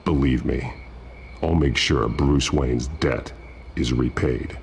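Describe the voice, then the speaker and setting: A man playing a grim masked vigilante detective in a video game, with a gravelly growl and a terse, stern, deliberate delivery. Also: extremely low pitch, a little mellow, a little hiss or hum, heavily acted. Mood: stern, determined.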